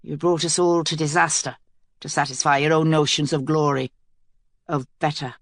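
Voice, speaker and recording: A woman's voice: sits low, little pitch movement, moderate pace, no room sound, studio quality. A woman reading aloud, voicing a bitter male soldier captain who accuses his queen. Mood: accusing.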